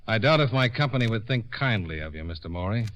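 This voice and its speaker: An American actor playing a sardonic, hard-boiled insurance investigator in 1950s radio noir style, clipped, dry and cynical. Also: male voice, fairly low pitch, lively intonation, fast talker, fairly bright tone. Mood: blunt, accusing. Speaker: lead actor and narrator in a radio detective drama. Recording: muffled vintage radio recording.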